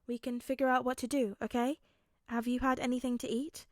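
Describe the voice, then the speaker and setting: A woman voicing a kind, gentle, apologetic teenage boy hero in an earnest, reassuring way. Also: fairly high pitch, little pitch movement, fairly quick, no room sound, studio quality, close to an everyday voice.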